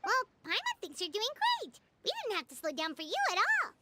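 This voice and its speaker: A woman voicing a tiny childlike fairy girl companion in a video game, squeaky, eager and perky. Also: huge pitch swings, fairly quick, very noisy, exaggerated voice. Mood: encouraging. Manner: refers to self in third person.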